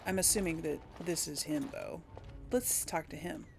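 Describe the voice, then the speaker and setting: A woman's voice: low voice, moderate pace, creaky, soft background music. A woman doing casual game commentary and role-playing dialogue choices, musing aloud with little hums. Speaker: gamer narrating a role play series.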